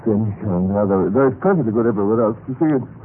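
An actor playing a timid, apologetic dead government clerk who tells his own story haltingly and deferentially in a radio drama. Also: lowish voice, expressive, a little hiss or hum, lightly acted. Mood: flustered, servile. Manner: hesitant, stammering, deferential. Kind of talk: radio drama acting, first-person storytelling. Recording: muffled old radio recording with music.

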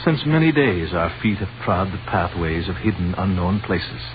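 A man's voice: low voice, expressive, moderate pace. An actor playing a devout Greek wise man in a 1930s radio drama, declaiming solemnly in archaic biblical speech. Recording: muffled, noisy 1930s radio recording.

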